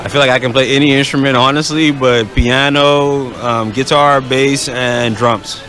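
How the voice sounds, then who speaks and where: A man's voice: mid-range voice, fairly even intonation, moderate pace, bright, forceful tone. A man, a music producer, answering interview questions casually with many fillers. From the Bahamas. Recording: noisy background.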